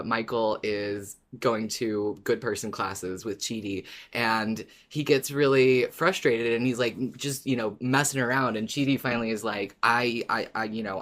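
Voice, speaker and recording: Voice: mid-range voice, a bit bright. A podcast co-host chatting casually and enthusiastically, circling around phrases while explaining and retelling things. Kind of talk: casual chat, animated retelling.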